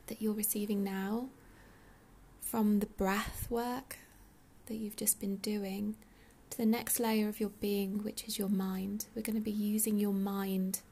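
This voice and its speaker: A woman leading a guided meditation in a soothing, unhurried, breathy soft delivery with pauses. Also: medium pitch. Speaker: meditation guide, yoga teacher.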